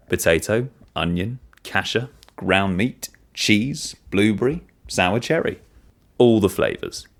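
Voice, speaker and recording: A man reading a first-person short story as narrator, in an expressive storytelling manner. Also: low voice.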